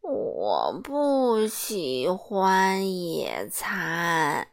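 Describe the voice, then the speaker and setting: A woman playing a sleepy, sluggish man who hates hurrying. He stretches each word out slowly in a lower, heavy voice. A grumpy storybook character. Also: huge pitch swings, creaky, full character voice. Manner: very slow and drawn out.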